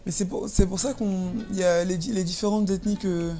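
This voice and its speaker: Halting young woman being interviewed, medium pitch. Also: fairly even intonation, dark tone, soft background music. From Paris, France. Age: about 30. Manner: halting, repeats words as she searches for phrasing. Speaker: interview guest. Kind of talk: casual interview conversation.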